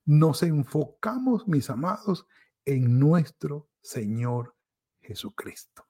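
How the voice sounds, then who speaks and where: A male pastor gives a devotional sermon at an unhurried pace. His voice is gravelly and a little husky, with a mellow, darker tone. He self-corrects mid-phrase and slips into quick quoted asides to mimic people's excuses. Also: a little high, big pitch swings. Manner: pauses and restarts, self-corrects.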